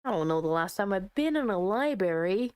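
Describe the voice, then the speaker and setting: A woman's voice: mid-range voice, expressive, fairly quick, darkish tone, smooth, no echo, studio quality. A woman giving casual, chatty commentary as she plays through a visual novel, talking to herself with dramatic delivery. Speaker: game streamer. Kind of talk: casual visual novel playthrough commentary, reading text aloud.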